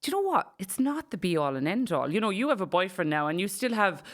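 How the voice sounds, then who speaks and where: A woman's voice: medium pitch, big pitch swings, fast. A woman in her forties with an Irish accent, talking frankly and casually as a podcast guest. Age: 40s. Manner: chatty, frank, plain-spoken. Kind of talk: casual chat.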